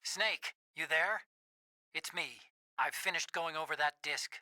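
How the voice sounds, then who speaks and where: An actor playing a bookish, hesitant male tech-support ally, speaking gravely in dramatic video game radio dialogue. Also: on the high side, measured pace, pushed and bright, no room sound, studio quality. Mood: urgent report.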